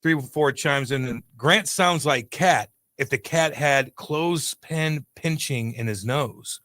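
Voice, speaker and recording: A man's voice: mid-range voice, slow, sharp tone, no room sound, studio quality. A man hosting a sports talk show, joking and stumbling over his words while reading listener comments. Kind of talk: casual sports talk chat. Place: radio studio.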